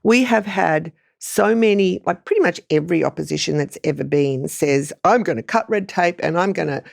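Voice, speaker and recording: A woman's voice: fairly low pitch, moderate pace, creaky, a little mellow. An Australian woman giving informal, opinionated political analysis in a conversational way, often qualifying her points as a podcast panellist. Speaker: journalist panellist on a news podcast.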